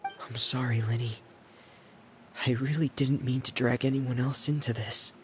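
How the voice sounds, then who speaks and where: A man plays a withdrawn youth in a video game. He is shy and apologetic, speaking softly and hesitantly at a middle pitch over faint music. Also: steady tone, measured pace, lightly acted. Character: shy, gloomy, apologetic young orphan boy.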